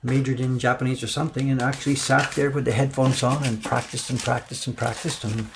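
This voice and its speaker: A man's voice: medium pitch, flat delivery, brisk, creaky, noisy background. A man from an older generation, chatting casually on a live stream as he prints by hand and answers viewers' questions. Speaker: woodblock printmaker streaming his work. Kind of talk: casual live-stream chat while working.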